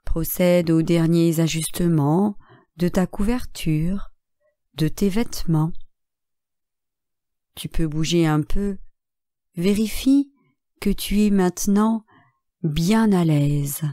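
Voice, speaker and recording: Female meditation guide, soft-edged mellow tone, dry close sound, measured and calm delivery of short relaxation cues. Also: fairly low pitch, no room sound. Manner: calm, measured, instructing in short phrases with long pauses. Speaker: yoga nidra instructor.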